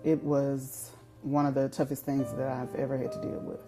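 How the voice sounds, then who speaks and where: A woman speaks in a deep voice with fairly even intonation, reflecting on personal experience. Piano music plays clearly behind her. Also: normal speed. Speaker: cancer survivor and advocate.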